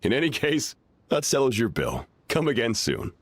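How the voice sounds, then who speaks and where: A man voicing an easygoing, forgetful male innkeeper who talks in a relaxed, slow way. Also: medium pitch, a little hiss or hum. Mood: vague. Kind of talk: game voice acting.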